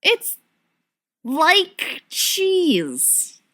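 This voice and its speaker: An exasperated young man from a storybook, played by a woman, indignant and snappy in his own defence. The voice jumps around in pitch with his annoyance. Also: wildly swooping pitch, drawn-out words, pushed and bright, heavily acted. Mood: defensive. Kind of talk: storybook character reading.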